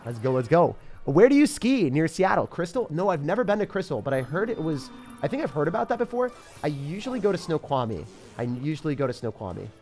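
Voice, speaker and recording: A young man from the Boston area streaming a game live, chatting with viewers, excitable and laughing often. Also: a little high, fast talker. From Boston area, United States. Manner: excitable, laughs often, reads chat questions aloud. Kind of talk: live-stream gameplay commentary.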